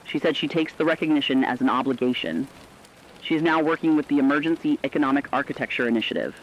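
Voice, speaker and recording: A man's voice: fairly high pitch, fast talker, natural voice. A man playing a sober radio correspondent, plainly reading a scripted documentary-style report. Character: sober male radio correspondent relaying survivor testimony. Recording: dull lo-fi radio sound, faint noise.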